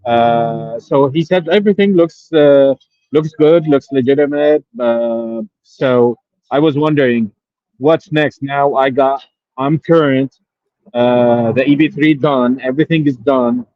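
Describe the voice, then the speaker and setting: A man from Syria calling in to a show, telling his case in a halting way, with many pauses and fillers. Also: mid-range voice, no echo, lo-fi sound. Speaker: caller. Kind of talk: phone-in question.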